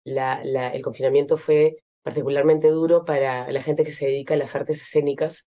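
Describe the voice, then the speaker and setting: A young Peruvian woman with a Peruvian Spanish accent, an actress being interviewed, speaking thoughtfully with many pauses and fillers. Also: deep voice, level tone, mellow, dull audio. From Peru. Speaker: actress guest. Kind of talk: interview conversation.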